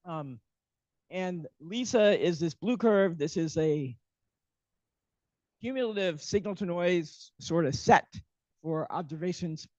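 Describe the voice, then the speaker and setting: A man's voice: high voice, slow, pauses often, a little mellow, no room sound. A man giving a workshop lecture, hesitant with many ums and restarts, while losing his voice. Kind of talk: academic workshop talk. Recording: dull, muffled lecture audio.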